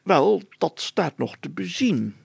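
A man voicing a short, fat, pompous village mayor, gruff, stammering and suspicious, congested with hay fever, for a storybook. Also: on the high side, sing-song, slow, dry recording, voice pushed up, exaggerated voice. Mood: hesitant. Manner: gruff, stammering, self-important.

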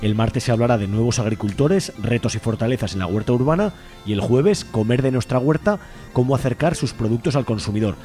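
Spanish guy from spain hosting radio, talks really quick, faint music behind. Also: medium pitch, animated, warm tone. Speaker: radio show host. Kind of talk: conversational radio presenting.

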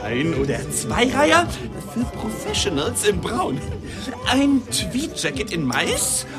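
A man's voice: sits high, wildly swooping pitch, takes it slowly, loud music behind the voice, full character voice. An actor playing a cheeky, flamboyant shop salesman with theatrical patter sprinkled with English phrases, in a German radio drama. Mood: showy, teasing.

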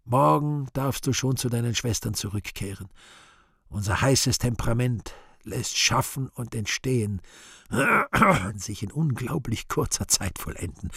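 A man's voice: mid-range voice, creaky, a bit bright, breathy edge, dry recording, studio quality, exaggerated voice. A man playing the Devil as an imperious, grandiloquent seducer in a radio play. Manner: grandiloquent, coaxing.

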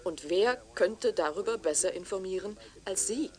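A woman's voice: mid-range voice, lively intonation, moderate pace, close to an everyday voice. A woman acting a deferential, eager young television reporter in a radio drama, courteous and persuasive. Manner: courteous, persuasive, formal, sometimes hushed.

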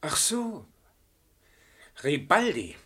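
An actor playing a teasing young poet, a student's friend, in a German radio play. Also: male voice, a little high, huge pitch swings, extremely slow, a bit bright, breathy edge, heavily acted.

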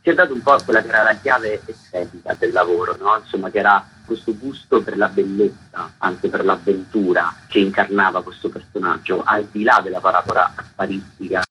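A man's voice: low-pitched, fairly even intonation, fairly quick, few pauses, pushed and bright, slight background noise, poor audio. A man being interviewed on radio as a theatre author, explaining his work in an articulate way.

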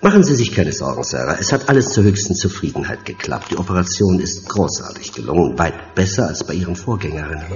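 Sadistic hunchbacked scientist for horror audio: low, silkily polite, theatrical, swooping in pitch, in an echoing hall. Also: male voice, fast talker, hardly any pauses, slight background noise. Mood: silky, courteous. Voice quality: calm, deep. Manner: mock-courteous, taunting, theatrical. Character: hunchbacked vengeful mad scientist, sadistically gloating. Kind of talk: horror audio drama acting. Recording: dull sound in a long echoing hall.

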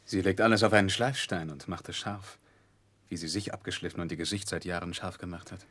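An actor in a 1960s German radio play playing a suave, careless, self-assured young gentleman with a nonchalant, theatrical manner. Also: low-pitched, steady tone, fairly quick, husky. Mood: sardonic.

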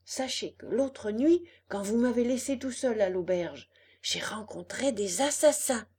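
Lady doing a naive little puppet boy for a kids story, high voice, really excitable and earnest. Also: a little slow, husky. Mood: excited. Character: eager, naive young wooden puppet boy.

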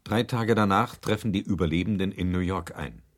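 A man's voice: low-pitched, brisk. A man narrating a documentary radio play, terse and matter-of-fact.

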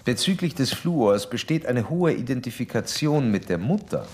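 An actor playing a self-assured, insistent psychoanalyst in a German radio drama, questioning with pedantic, learned formality. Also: male voice, mid-range voice, very animated, moderate pace, darkish tone, slight background noise, natural voice. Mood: probing, insistent. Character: probing, self-assured male doctor psychoanalysing a young woman.

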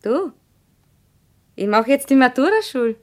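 A young Viennese tavern maid in a radio play. She is placid, with slow speech and a very animated, swooping melody. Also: high voice. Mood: friendly.

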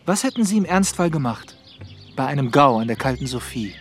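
A man's voice: mid-range voice, big pitch swings, a little fast, slight background noise, close to an everyday voice. An actor playing a gallant, theatrical police detective in a radio crime drama, savouring his phrases. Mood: curious.

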